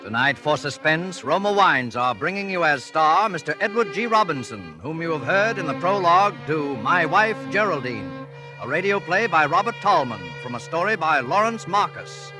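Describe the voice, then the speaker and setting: A male announcer from 1940s radio over background music. His voice sits fairly high, and his scripted delivery is formal and dramatic. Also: sing-song, moderate pace.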